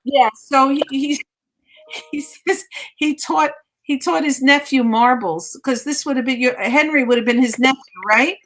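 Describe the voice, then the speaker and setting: A woman's voice: sits high, measured pace, fairly bright tone, slight background noise, low-quality recording. A woman giving a conversational live mediumship reading, insistently repeating phrases and relaying messages as quotes.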